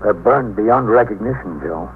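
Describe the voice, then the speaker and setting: A low-pitched American actor playing a police captain comes through a narrow, tinny old radio broadcast. He is steady and businesslike, reporting events in measured, matter-of-fact radio drama style. A clear layer of noise sits on the recording. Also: lightly acted. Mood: patient, firm. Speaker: narrator and lead actor. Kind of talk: 1950s radio police drama acting and narration. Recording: muffled, noisy 1950s radio broadcast.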